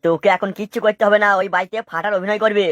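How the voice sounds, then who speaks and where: Comic cartoon schemer: female actor playing a pushy male mason, medium pitch, quick delivery. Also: fairly even intonation, no echo, clean studio sound, lightly acted. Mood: bossy scheming. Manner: crude, nagging, commanding. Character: scheming, bossy adult male mason crony.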